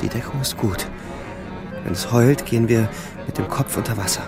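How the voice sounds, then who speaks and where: An actor playing a young soldier, narrating a radio drama in terse first-person storytelling. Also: male voice, mid-range voice, normal speed, natural voice. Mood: tense, grave. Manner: terse, present-tense storytelling. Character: young male German soldier narrating front-line ordeal. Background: music.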